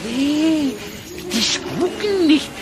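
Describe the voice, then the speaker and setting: An actor playing a cheeky, quick-witted cartoon rabbit in a children's audio play, animated and cocky, with music behind. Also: high voice, noisy background, full character voice. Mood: bossy.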